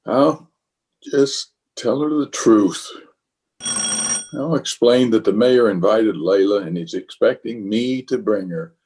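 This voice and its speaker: A man's voice: fairly low pitch, slow pace, a little mellow, a little hiss or hum, rough recording. A man playing a flustered, blustering middle-aged bachelor in an old-time radio comedy, hemming and sheepish. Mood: fretful, resigned.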